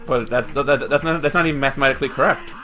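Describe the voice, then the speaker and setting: A young man casting esports, chatting and teasing his co-casters in quick banter over a headset. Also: medium pitch, pushed and bright. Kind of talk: casual chat between casters.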